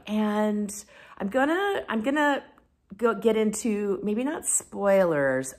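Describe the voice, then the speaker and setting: Lower-voiced woman chatting enthusiastically about books. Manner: enthusiastic, effusive. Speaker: book reviewer and video host. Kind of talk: casual chat to camera about books.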